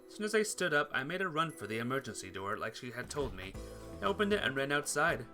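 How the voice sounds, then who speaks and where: Bright, animated man streaming a game. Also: medium pitch, fast. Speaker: streamer playing a visual novel. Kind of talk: casual gameplay commentary and reading game text aloud. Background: game music.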